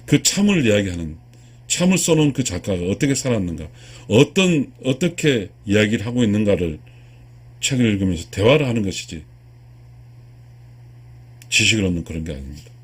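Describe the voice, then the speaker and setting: A husky-voiced man in his sixties delivers an earnest spiritual lecture. His pitch swings widely as he stresses and repeats key phrases. He addresses his viewers directly, like a teacher. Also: very animated, a little fast, pauses often. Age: 60s. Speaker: lecturer, video channel host.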